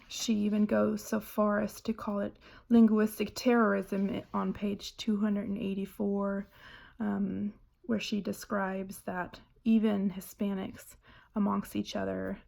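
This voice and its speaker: Woman, English speaker, halting with many pauses, monotone, low and unhurried. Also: vocal fry. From Germany. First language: German. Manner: hesitant, frequent "um" pauses. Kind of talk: spoken reading response.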